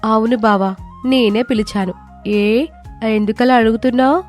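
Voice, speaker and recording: Woman acting sharp-tongued young wife, feisty, fairly high voice, comic village tale, faint music. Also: fairly even intonation, measured pace, close to an everyday voice. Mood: impatient.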